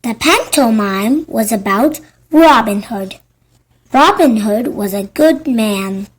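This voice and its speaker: A woman reads a children's story aloud in a high voice. Her pace is very slow and deliberate, and her intonation is animated. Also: slightly rough. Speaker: storybook narrator. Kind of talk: scripted children's story narration.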